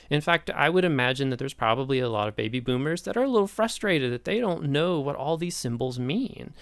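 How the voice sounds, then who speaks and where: Gravelly-voiced man lecturing with enthusiasm. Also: medium pitch, no echo. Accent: Midwestern American. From East Tennessee, Appalachia. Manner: enthusiastic, chatty, asks the listener questions. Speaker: sociology lecturer. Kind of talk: recorded online lecture.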